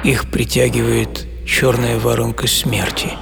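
A man acting a hardy, serene seventy-year-old sailor in an audio play monologue, reflective and intimate, with savoring pauses. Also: medium pitch, flat delivery, creaky. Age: 70s. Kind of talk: first-person dramatic monologue in an audio play. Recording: music behind the voice.

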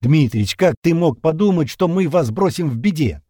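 Man voicing a loyal, husky, earnest comrade. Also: medium pitch, very animated, unhurried, dark tone, no echo, studio quality, pitched above the performer's own voice. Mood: sincere surprise. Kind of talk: audiobook character dialogue.

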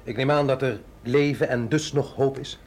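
A man's voice: mid-range voice, fairly even intonation, moderate pace, close to an everyday voice. An actor in a radio drama playing a wry, understated male agent, a curious outsider who questions and hesitates. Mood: cautious, questioning.

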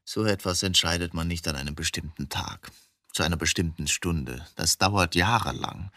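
An actor in a radio drama playing a brooding middle-aged journalist who talks evasively, philosophically and with bitterness. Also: male voice, sits low, moderate pace, sharp tone, exaggerated voice. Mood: weary, evasive. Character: brooding middle-aged journalist confessing to killing his wife.

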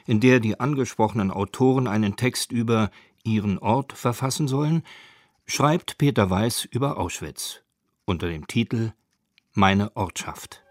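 Lowish male narrator for a radio doc, factual and precise, totally dry studio sound. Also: no room sound. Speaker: documentary narrator. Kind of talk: scripted radio feature narration.

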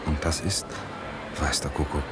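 An actor playing a lovesick, brooding man in a radio play, confessing his longing in halting, solemn phrases. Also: extremely low pitch, fairly even intonation, heavy background noise. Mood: yearning, pained. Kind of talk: naturalistic radio play dialogue.

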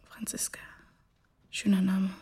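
A woman's voice: measured pace, mellow, whispered, close to an everyday voice. An actress playing a shaken young girlfriend, defensive and sad, giving hesitant answers in a radio drama.

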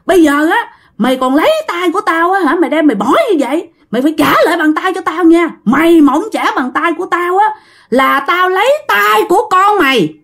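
A woman's voice: very high voice, animated, moderate pace, pauses often, sharp tone, pitched above the performer's own voice, heavily acted. A woman voicing a vengeful dead old man in a ghost story, snarling threats and demanding his severed hand back over and over. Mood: furious, threatening. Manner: snarling, menacing, repeats threats.

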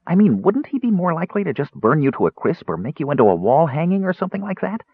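A man voicing a small, cheerful and impulsive kender who chatters eagerly in a high voice. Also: sing-song, husky, no room sound, heavily acted. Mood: conspiratorial. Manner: high, bubbly, rapid and eager. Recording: dry tinny phone-like sound.

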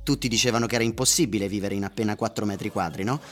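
An actor playing an envious, excitable male office worker in an Italian radio drama, animated and insistent. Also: fairly low pitch, rapid-fire, faint music behind, close to an everyday voice.

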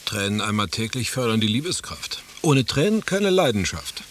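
An actor playing a sly, mocking, jilted husband in a literary radio play, with florid, ironic musing and a husky voice. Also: male voice, on the low side, sing-song, moderate pace, a little hiss or hum.

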